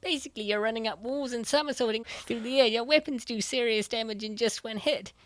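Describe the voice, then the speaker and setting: A woman's voice: fairly high pitch, fairly quick, dry recording, lightly acted. A woman voicing an earnest, nerdy young female gamer who explains her opinions in a comic dub. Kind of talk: comic dub dialogue, gaming banter.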